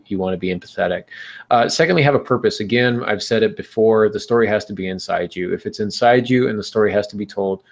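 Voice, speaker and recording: Low, creaky-voiced man giving casual advice. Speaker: podcast co-host giving writing advice. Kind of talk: conversational advice talk.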